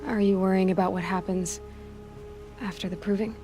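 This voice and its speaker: A woman acting as a young outcast huntress in a video game, with a medium-pitched voice. She is headstrong and direct, given to dry sarcasm and pointed questions, over light background music. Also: steady tone, moderate pace, mellow, natural voice. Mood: concerned.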